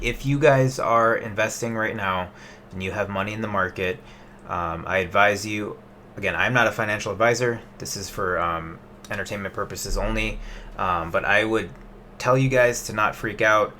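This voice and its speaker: A man's voice: lowish voice, normal speed, bright, forceful tone, slight background noise. A man in his late twenties giving a loose, rambling investing update to his webcam, with plenty of fillers and restarts. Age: 20s. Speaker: investing vlogger.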